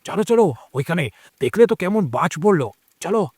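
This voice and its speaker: An actor playing a chatty, concerned, insistent older gentleman in an audio story. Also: high-pitched, normal speed, breathy edge, dry recording, clean studio sound. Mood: urgent. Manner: expressive, exclaiming, familiar. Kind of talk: audio drama acting.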